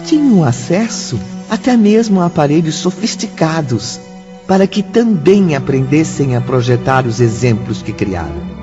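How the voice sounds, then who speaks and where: A man's voice: fairly high pitch, very animated, a little slow, dull audio, over music. A man narrating a radio drama from a script in a solemn, formal, emphatic manner.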